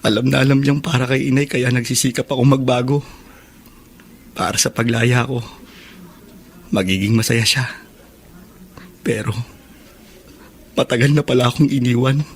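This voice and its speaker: Grieving, bitter ex-convict younger brother male actor, mid-pitched, halting Filipino radio-drama delivery. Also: fairly even intonation, a little hiss or hum, lightly acted. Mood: bitter, dejected. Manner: halting, emotional delivery with repeated words.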